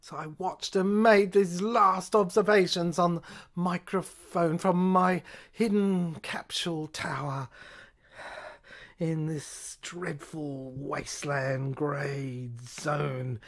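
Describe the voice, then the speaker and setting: A woman performing a scripted dramatic monologue as the narrator of an audio play, with theatrical, halting phrasing that can fall toward a whisper. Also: on the low side.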